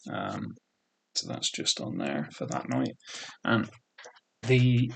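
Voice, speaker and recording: A man's voice: fairly low pitch, sing-song, takes it slowly, creaky, slightly rough. A man in his thirties with a thick regional British accent, casually reminiscing in a rambling way. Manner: rambling, full of "sort of" and "um" fillers. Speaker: vlogger.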